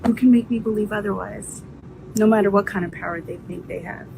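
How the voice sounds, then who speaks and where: A woman's voice: mid-range voice, fairly even intonation, a little fast, gravelly, very noisy. A woman business founder giving an earnest, encouraging, faith-filled message. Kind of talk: heartfelt direct-to-camera message.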